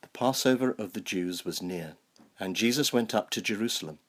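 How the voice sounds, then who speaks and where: A clergyman with a fairly low, noticeably husky voice. He speaks as if from prepared notes, measured and explanatory, in the style of a sermon or a reading from scripture. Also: expressive. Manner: measured, explanatory, rhetorical questions. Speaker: clergyman, Anglican canon.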